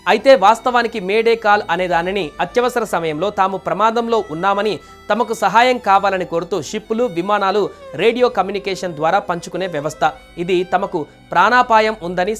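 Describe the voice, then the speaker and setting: A man reading a scripted news voice-over as a narrator. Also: sits high. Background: background music.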